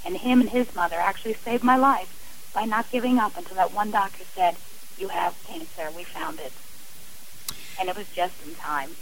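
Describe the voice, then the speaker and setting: A woman with a gravelly, creaky voice, earnestly telling her own story as a radio guest. A faint hiss sits behind her. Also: medium pitch, moderate pace, rough recording. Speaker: interview guest. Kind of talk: telling a personal story on air.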